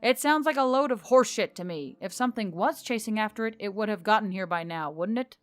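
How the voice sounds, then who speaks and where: A woman voicing a weary, sardonic male treasure-hunting boss in a fantasy audiobook, with a gruff, derisive, deadpan manner. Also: medium pitch, fast talker, natural voice. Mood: exasperated.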